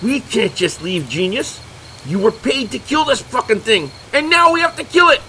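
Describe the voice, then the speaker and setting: A man's voice: very high voice, expressive, moderate pace, sharp tone, slight background noise, full character voice, poor audio. A man playing an irritable, exasperated monster hunter who scolds his partners like a foul-mouthed know-it-all. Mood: exasperated, angry.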